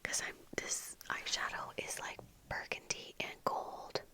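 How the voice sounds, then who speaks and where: A young woman speaks entirely in a breathy whisper, very close to the mic. Her delivery is slow and halting, like a casual chat while getting ready, and the whisper has a very bright, sharp edge. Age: young adult. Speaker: ASMR video creator. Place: small indoor room at home with bookshelves. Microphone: fluffy-covered microphone close in front, earphones on.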